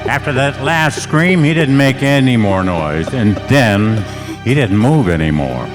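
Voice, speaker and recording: A man's voice: mid-range voice, lively intonation, unhurried, close to an everyday voice. A man playing a tough, wry private detective in an old-time radio play, both narrating and talking. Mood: grim. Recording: echoey hall stage recording, music behind.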